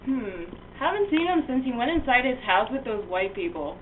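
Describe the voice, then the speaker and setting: A woman's voice: fairly high pitch, very animated, moderate pace, roomy sound. A woman voicing an Aztec townsperson in an offhand, conversational way for an amateur comic puppet show. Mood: puzzled. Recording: distant tinny mic, noisy room.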